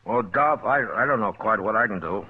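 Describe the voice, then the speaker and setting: A man acting a calm, reasonable American frontier marshal in an old-time radio western, speaking in a measured, plainspoken way. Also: fairly low pitch, breathy edge. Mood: earnest. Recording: muffled, noisy old radio recording.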